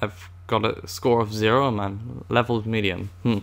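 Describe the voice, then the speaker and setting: A man's voice: on the low side, fairly even intonation, moderate pace, gravelly. A man hosting a gaming video in a put-on British accent, giving hammy, playful commentary as a loyal Imperial pilot. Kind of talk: playful in-character game commentary.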